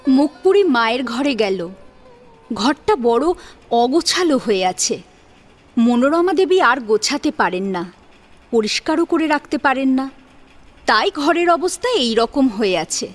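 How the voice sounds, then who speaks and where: High-voiced, animated woman storyteller. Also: measured pace, pauses often. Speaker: story narrator. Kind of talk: dramatic audiobook story narration. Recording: sitar music behind the voice. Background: plucked sitar music.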